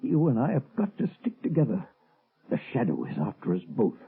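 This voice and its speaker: An actor playing a frightened, scheming husband, halting and melodramatic, in a 1930s radio drama. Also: male voice, medium pitch, wildly swooping pitch, creaky, husky, exaggerated voice. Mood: desperate pleading. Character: frightened, guilty scheming husband cornered by his past. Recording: muffled, tinny old radio recording.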